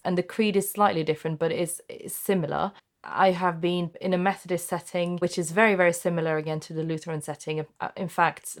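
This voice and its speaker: A woman from Norway, a native Norwegian speaker, talking thoughtfully and personally in a conversation. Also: lowish voice. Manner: thoughtful, personal, frequent self-corrections. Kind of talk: conversational discussion.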